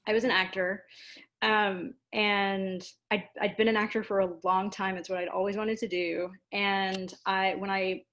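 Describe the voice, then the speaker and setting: An American woman being interviewed, speaking in a casual, animated storytelling way with self-deprecating asides. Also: mid-range voice, unhurried. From Louisiana, United States. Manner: animated, self-deprecating, acts out quoted exchanges. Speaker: interview guest, theater dramaturg and producer.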